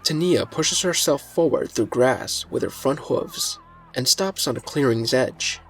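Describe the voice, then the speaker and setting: A man reads a scripted fantasy story as narrator. His voice sits at a middling pitch, with faint music running behind it. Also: expressive, measured pace, a bit bright.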